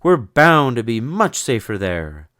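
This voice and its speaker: Man playing a loud, bold storybook knight. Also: medium pitch, sing-song, unhurried, no echo, studio quality, voice pushed up. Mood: triumphant. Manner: loud, declamatory, heroic. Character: bold, commanding male storybook knight, brave and triumphant.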